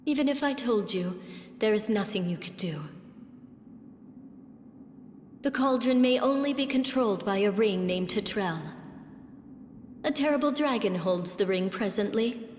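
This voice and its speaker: A woman's voice: medium pitch, animated, moderate pace, darkish tone, slight background noise, close to an everyday voice. A woman playing a proud young witch in a fantasy game, speaking in a cold, formal, accusing way. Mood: cold defiance. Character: bitter, proud young witch, estranged princess's daughter. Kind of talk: dramatic fantasy game voice acting. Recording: music behind. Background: music.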